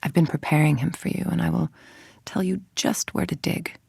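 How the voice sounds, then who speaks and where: Low, gravelly, calculating villain scientist. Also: female voice, fairly even intonation, darkish tone, dry recording, clean studio sound, exaggerated voice. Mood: threatening, commanding. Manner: measured, precise, menacing delivery. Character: cold, calculating, ambitious woman scientist villain. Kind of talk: video game voice acting, monologue.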